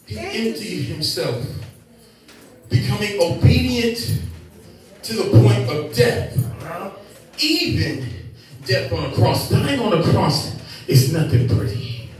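A man's voice: high voice, very animated, takes it slowly, long pauses. A young man preaching a sermon on a church stage with a handheld mic, building passion through repeated refrains as he moves and gestures. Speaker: pastor. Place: church stage with lit screens, indoors. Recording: echoey room from a distant camera.

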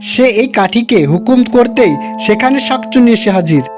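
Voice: mid-range voice, expressive, fairly quick. A narrator reading a scripted children's folk tale over music. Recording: guitar music behind the voice.

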